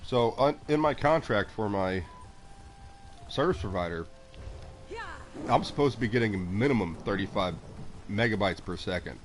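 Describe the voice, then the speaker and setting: A man's voice: lowish voice, expressive, moderate pace, long pauses. A man from Texas and South Florida, casually chatting with viewers while he plays a game. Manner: chatty, talks to chat and coos at pets. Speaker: streamer playing a game. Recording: faint background noise.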